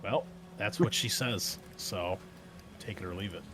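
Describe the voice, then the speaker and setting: Man, game master, relaxed tabletop narration, lots of fillers. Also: on the low side, unhurried, slight background noise. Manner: casual, many fillers.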